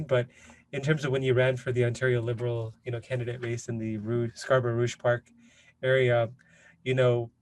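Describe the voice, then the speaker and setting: A man hosting a podcast interview, talking casually and hesitantly with many fillers. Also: mid-range voice. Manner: hesitant, many fillers like "you know" and "kind of". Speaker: podcast host.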